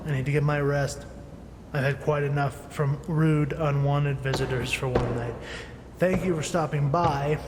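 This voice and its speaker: A man's voice: on the high side, natural voice. An actor playing a learned, irritable inventor in his late fifties in an audio drama, speaking formally and condescendingly. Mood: dismissive, then irritated, indignant. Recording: noisy, echoing with long reverb.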